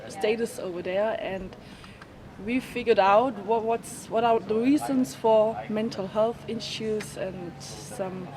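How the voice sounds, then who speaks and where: A woman, speaking in a casual interview and pausing to find her words. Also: medium pitch, a crowd in the background. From Germany. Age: 20s. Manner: thoughtful, pauses to find words. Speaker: youth rally participant. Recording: noisy outdoor recording.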